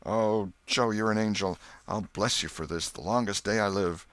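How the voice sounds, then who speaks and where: A man's voice: on the low side, normal speed, fairly bright tone, pitched above the performer's own voice, lightly acted. A man voicing a frightened, pitiful male drunkard in an audiobook, begging and wailing in rustic speech. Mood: tearfully grateful.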